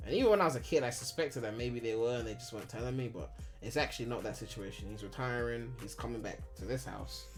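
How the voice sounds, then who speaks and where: A man's voice: medium pitch, fast, soft background music. Rambling young man streaming from home. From Ghana. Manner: rambling and candid, heavy on filler "like", swears freely. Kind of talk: casual live-stream chat answering viewers.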